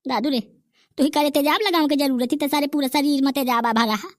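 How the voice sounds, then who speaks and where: A woman's voice: sits high, a little fast, no room sound, studio quality, close to an everyday voice. A woman voicing Nanku, a boastful old village grandfather, with a Bundelkhandi accent and rustic, bragging rants in a cartoon comedy. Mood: grumbling.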